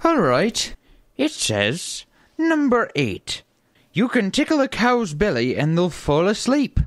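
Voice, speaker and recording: A man's voice: high-pitched, huge pitch swings, takes it slowly, dry recording, studio quality, voice pushed up, heavily acted. A man voicing an earnest, scheming leprechaun who argues eagerly in a comic radio play. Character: earnest, scheming male leprechaun council member.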